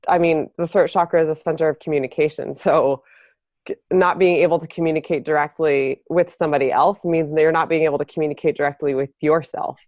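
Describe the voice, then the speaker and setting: Woman, low voice, thoughtful, lots of ums, muffled lo-fi recording. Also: a little mellow, no echo. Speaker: podcast co-host. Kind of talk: explaining, casual chat.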